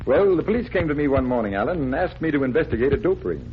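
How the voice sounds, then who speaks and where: A man's voice: medium pitch, big pitch swings, fast talker, slight background noise, natural voice. A man playing a suave magician detective, polite, genial and unflustered, in 1940s radio drama style. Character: suave, composed adult male magician detective. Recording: dull vintage radio recording, music behind.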